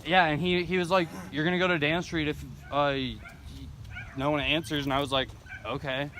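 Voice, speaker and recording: A young American man with a slightly high voice tells stories casually among friends. His talk is slangy and full of swearing, captured on an amateur recording with plenty of background noise. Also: flat delivery, brisk. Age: teens to young adult. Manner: slangy, profane.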